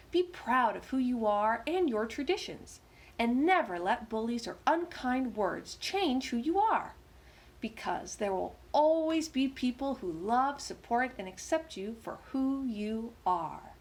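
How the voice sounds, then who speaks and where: A woman's voice: a little high, measured pace. A woman reading a picture book aloud to young children, with sing-song delivery and chatty asides about the pictures. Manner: warm, animated, sing-song storytelling with asides. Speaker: children's storytime reader.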